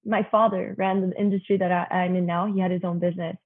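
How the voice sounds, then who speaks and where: A woman's voice: on the low side, little pitch movement, fast, slightly rough. A woman in her early twenties with a young-sounding voice, chatting as an interview guest with lots of "you know" fillers. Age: 20s. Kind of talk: casual interview talk. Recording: tinny phone-like line.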